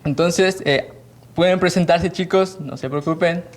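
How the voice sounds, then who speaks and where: A man hosting a podcast interview, his voice sitting a little high for a man. He greets his listeners cheerfully and asks his guests questions in a friendly way, laughing easily. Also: a little slow. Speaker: podcast host.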